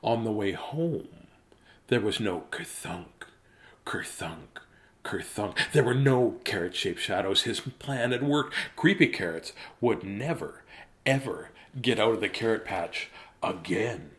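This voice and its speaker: Theatrical man storyteller with swooping pitch. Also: lively intonation, a little slow. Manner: theatrical, with whispers and repeated words. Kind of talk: dramatic children's bedtime story reading.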